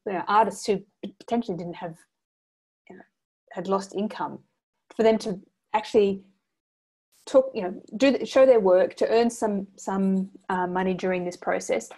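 A woman's voice: mid-range voice, a little mellow. An Australian woman curator talking on a video call, rambling and searching for words. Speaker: exhibition curator. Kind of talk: casual video call chat. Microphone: laptop video call.

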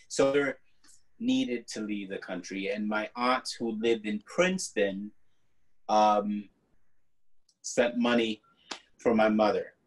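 A man in his forties, a native Spanish speaker, talks in a low-ish voice and takes his time, drawing out each story. He is an artist speaking over a laptop webcam from a room at home, easygoing and quick to laugh. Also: rough recording. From born in the US, raised partly in Guatemala. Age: 40s. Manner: unhurried, laughs easily, expressive. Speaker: artist guest. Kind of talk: relaxed conversational storytelling. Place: home room with colorful paintings on the wall. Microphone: laptop webcam video call.

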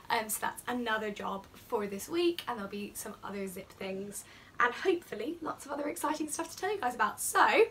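A young woman chatting upbeat and fast in a casual sewing vlog, recorded on a camera at arm's length in a small room at home. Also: fairly high pitch, bright, forceful tone, a little hiss or hum. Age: young adult. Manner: chatty and upbeat, quick asides. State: croaky voice. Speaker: sewing vlogger. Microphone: phone or camera at arm's length.

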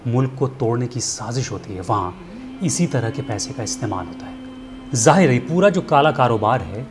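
A man's voice: medium pitch, big pitch swings, normal speed. A middle-aged man, presenting a scripted address to camera in an earnest, persuasive manner rhetorical questions. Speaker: filmmaker and storyteller presenting a commentary segment. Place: indoor studio set. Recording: music bed underneath.

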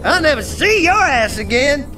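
An actor playing a cocky, taunting survivor in a video game, mocking and drawling. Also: male voice, very high-pitched, sing-song, normal speed, noisy background. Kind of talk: video game cutscene acting.

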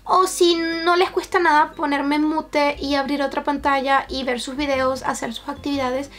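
A woman's voice: high-pitched, little pitch movement, normal speed, a bit bright. A woman in her twenties with a Venezuelan accent, chatting casually to camera as a streamer. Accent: Venezuelan Spanish. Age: 20s. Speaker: streamer and YouTuber reacting to memes and streams. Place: small bedroom with shelves, indoors. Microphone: camera-mounted shotgun microphone.

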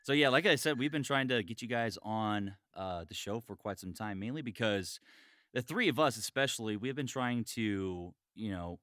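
A man hosts a casual podcast in a bright, forceful voice, recorded dry and close. He is chatty and excitable and swears freely. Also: fairly low pitch, normal speed, no echo. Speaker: podcast host, voice actor. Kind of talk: casual chat, hosting an online podcast.

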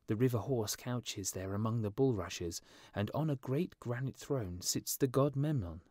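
An eager little swallow with a high, lilting voice, put on by a man reading a storybook. He sounds good-hearted and earnest, and the read is close-miked and dry. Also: warm tone, no echo.

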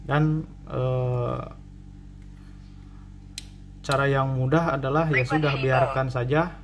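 A man's voice: medium pitch, fairly even intonation, slow pace, crowd noise. A man giving a casual hands-on tutorial as a repair technician, repeating and rephrasing as he explains. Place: indoor cluttered repair workbench.

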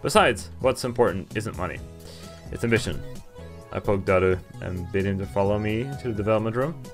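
A man's voice: on the low side, a little fast. A young man hosting a gaming video, reading on-screen story text aloud and adding casual comments. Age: young adult. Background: game music.

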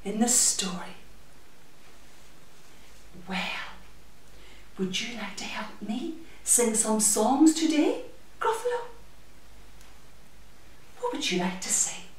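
High-voiced woman in a very echoey room. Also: slow, long pauses. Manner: animated, sing-song, addressing small children. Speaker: children's story-time host. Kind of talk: children's story time with puppet chat and songs. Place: indoors, small room in a community library. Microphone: camera a few metres away. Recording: very echoey room, distant microphone.

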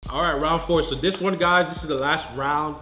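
A man's voice: a little high, steady tone, normal speed, very noisy. A young man hosting a challenge vlog in playful banter, with a dramatic, exclamatory way of talking. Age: young adult. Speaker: vlog host. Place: indoor room at home, at a table. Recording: roomy indoor echo, music underneath. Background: music.

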